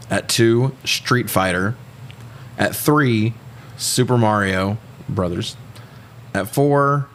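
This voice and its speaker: A man with a fairly deep voice talks casually as a podcast co-host. His delivery is blunt and peppered with swearing. There is clear noise behind him. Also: a bit bright. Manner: profane, blunt. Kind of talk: casual movie review chat.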